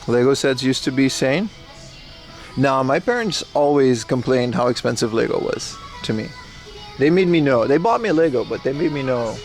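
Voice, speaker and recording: A young man livestreaming as he browses a secondhand shop, chatting excitedly and thanking viewers, recorded on a handheld phone. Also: mid-range voice, moderate pace, soft background music. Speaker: streamer. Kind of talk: casual IRL livestream chat.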